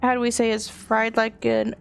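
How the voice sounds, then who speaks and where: A woman's voice: on the high side, flat delivery, moderate pace, vocal fry, slight background noise. A woman chatting casually on a live game stream in a laid-back, wry manner. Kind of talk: casual live stream chat while playing.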